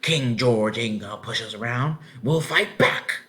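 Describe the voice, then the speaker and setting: A man voicing a gruff, cross, bony farmer in a storybook, curt and stern. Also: medium pitch, unhurried, pushed and bright. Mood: angry, indignant.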